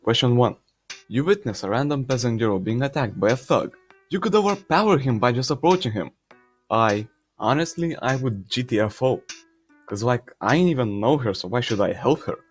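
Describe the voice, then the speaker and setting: A man's voice: medium pitch, expressive, moderate pace, faint music behind, lightly acted. A man playing a brash, selfish peasant, reading questions and answering in cocky, blunt slang. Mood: casual, callous. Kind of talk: in-character answers to read-out questions.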